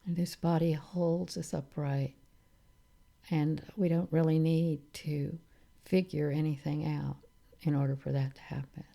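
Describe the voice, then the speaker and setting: A woman leading a guided meditation in a calm, soothing manner, leaving long pauses between phrases. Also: low-pitched, vocal fry. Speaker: meditation teacher.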